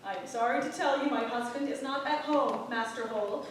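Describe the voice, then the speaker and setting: A woman's voice: fairly high pitch, brisk, natural voice. An actress playing a defiant townswoman in a staged play reading, wry and pointed under a gracious, polite surface. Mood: falsely gracious. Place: stage in a theatre. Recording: echoing theatre, distant mic, faint noise.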